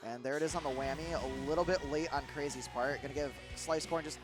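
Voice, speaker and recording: A young man with a thick Midwestern accent gives live play-by-play for a rhythm game tournament. His voice sits a little high for a man, and he rambles with fillers and restarts. Loud game guitar music plays under his voice. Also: steady tone, fast talker. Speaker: tournament commentator. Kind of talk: live esports play-by-play commentary.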